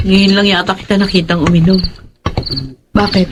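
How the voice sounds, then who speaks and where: A woman's voice: mid-range voice, little pitch movement, normal speed, background noise, lightly acted. A performer playing an anxious, concerned wife and mother in a horror audio drama, worried and questioning.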